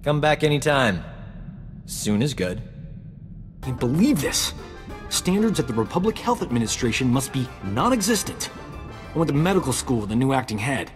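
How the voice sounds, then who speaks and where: An actor playing a cocky, flirtatious, boastful rogue doctor in a sci-fi video game, glib and smooth-talking. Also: male voice, mid-range voice, very animated, normal speed, pauses often, big-room sound, faint music behind, natural voice. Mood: indignant, envious. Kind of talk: video game dialogue acting.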